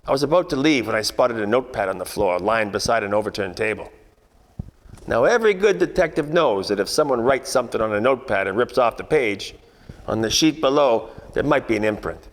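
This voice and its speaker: A man acts out a wry 1940s private eye narrating a radio noir parody. His mid-pitched voice rings with long hall reverb. He delivers deadpan, world-weary narration and hard-boiled quips. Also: a little fast, natural voice. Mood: brooding, wry.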